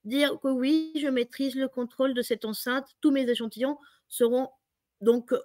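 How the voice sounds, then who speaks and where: A woman's voice: high-pitched, fairly even intonation, moderate pace. A woman from Mexico with a Mexican Spanish accent, explaining her work as an interview guest. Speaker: interviewed biotech industry professional.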